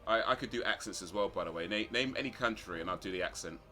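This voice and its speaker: A man's voice: on the low side, fast talker. A man hosting a casual livestream, chatting with viewers and a fellow player. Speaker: game streamer and host. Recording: loud music behind. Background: music.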